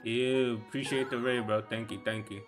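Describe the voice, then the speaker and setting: A man's voice: medium pitch, steady tone, moderate pace. A young man talking in a slangy, conversational way, as a streamer playing a video game in casual livestream chat. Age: young adult. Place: bedroom. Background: game music.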